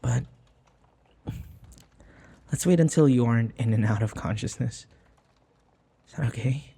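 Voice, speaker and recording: A man acting in an intimate audio roleplay as a devoted young human servant, speaking in a soft, halting, tender and pleading way. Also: mid-range voice, breathy edge. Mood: tender, shy.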